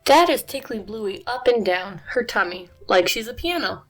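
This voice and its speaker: A woman reading a children's picture book aloud, animated and expressive, her voice a little tired. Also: a little high, normal speed, faint music behind, low-quality recording. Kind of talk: read-aloud narration.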